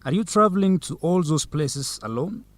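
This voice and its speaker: A man's voice: on the high side, animated, moderate pace, no echo, close to an everyday voice. Charming young man played by an actor, dry sound. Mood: courting. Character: Zegai, charming young Ethiopian man courting on a date. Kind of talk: radio drama acting.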